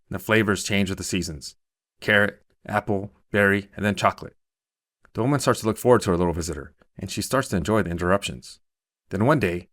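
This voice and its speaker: A man's voice: fairly low pitch, a bit bright, no room sound, clean studio sound. A man narrating a scripted short story as a storyteller.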